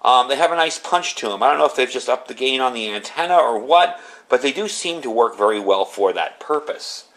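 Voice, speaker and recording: A man's voice: medium pitch, animated, a little fast, a bit bright. Casual, plain-spoken man reviewing gear. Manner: plain-spoken, explanatory, conversational. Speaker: product reviewer. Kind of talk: casual unscripted product review and demonstration.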